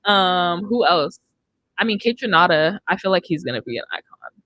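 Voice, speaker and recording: A woman's voice: fairly low pitch, animated, normal speed, sharp tone, no room sound. A young woman hosting a casual music podcast, chatting into a close studio microphone. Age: young adult. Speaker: radio show host. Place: indoor studio. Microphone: close studio mic on boom arm, headphones.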